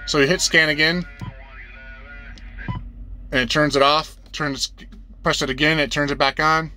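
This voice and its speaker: A man's voice: a little high, little pitch movement, a little slow, bright, forceful tone, faint music behind. A man explaining things in a casual, plain-spoken, hands-on way, repeating his instructions step by step like a trucker showing a beginner the ropes. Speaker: trucker giving a tutorial. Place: inside a truck cab.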